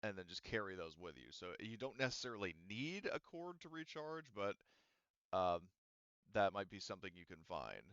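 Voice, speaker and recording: Male GM, low-ish smooth voice, rambly and hesitant, dry muffled online audio. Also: very animated, normal speed, fairly bright tone, no echo. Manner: rambling, thinking aloud with many "um" and "like". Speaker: game master. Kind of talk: explaining rules and setting in a tabletop game.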